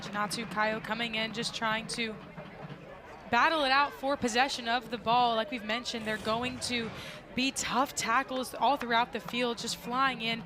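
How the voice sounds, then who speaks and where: A woman's voice: on the high side, fairly even intonation, normal speed. A woman giving colour commentary and analysis during a live soccer broadcast. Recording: live broadcast with crowd noise. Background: stadium crowd.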